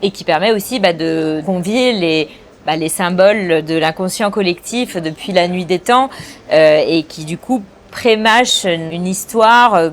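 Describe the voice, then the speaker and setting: A woman's voice: lowish voice, a little slow. A woman in her forties, a film director, answering interview questions thoughtfully with frequent hesitations and restarts.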